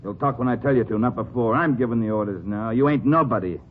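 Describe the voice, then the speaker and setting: An actor playing a menacing, sarcastic American street thug who barks orders in rough slang in a 1950s radio crime drama. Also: male voice, mid-range voice, fast talker, close to an everyday voice. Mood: bossy, threatening. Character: menacing Skid Row thug giving orders, sneering, sarcastic. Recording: tinny 1950s radio recording with noise.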